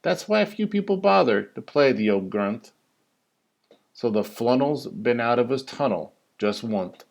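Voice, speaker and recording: Male storybook reader, slow and deliberate, highly animated, theatrical singsong emphasis on the rhymes. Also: creaky. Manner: theatrical, singsong rhyming delivery with drawn-out emphasis. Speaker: teacher reading a story aloud. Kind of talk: expressive children's picture-book read-aloud.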